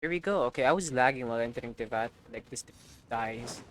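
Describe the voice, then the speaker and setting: A woman game streamer giving casual, expressive live commentary, joking and swearing as she plays. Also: extremely low pitch, fast, dry recording.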